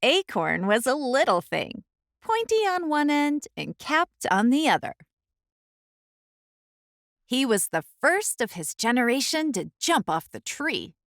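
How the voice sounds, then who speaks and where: A woman reading a children's storybook aloud as the host, in an expressive, deliberate storytelling style. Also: sits high, dry recording, clean studio sound.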